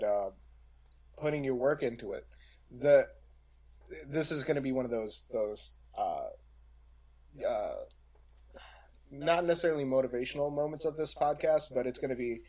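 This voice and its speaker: A young man talking casually as a podcast panelist, reflective and halting, repeating phrases while he builds a point. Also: medium pitch, dark tone.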